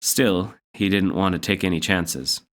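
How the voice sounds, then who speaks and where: A man's voice: low voice, a little slow. A man narrating a children's story aloud from a book as a story reader.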